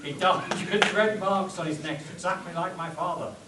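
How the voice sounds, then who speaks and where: A man's voice: high voice, moderate pace, distant mic, natural voice. A man playing an earnest, commanding pulp adventure hero in a spoof radio play, stumbling over his script. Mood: explaining, earnest. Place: convention stage before an audience. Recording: echoey convention room from afar, audience noise.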